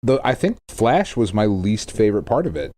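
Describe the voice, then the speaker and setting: A man's voice: on the low side, very animated, normal speed, dark tone, no room sound. A man co-hosting a podcast, chatting casually in an emphatic, exclamatory way with loose, sweary language. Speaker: podcast co-host. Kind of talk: casual chat about films.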